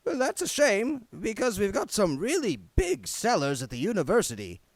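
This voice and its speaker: Storybook character: sly, feisty old male wizard, done by a male reader, high shifting pitch, coaxing and emphatic. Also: huge pitch swings, moderate pace, no echo, full character voice. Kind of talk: audiobook character voice.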